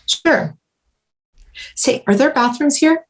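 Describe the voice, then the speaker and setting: A woman's voice: high-pitched, lively intonation, slow pace. A woman playing a sardonic, easily flustered undercover agent at a screenplay table read. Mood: awkward, flustered.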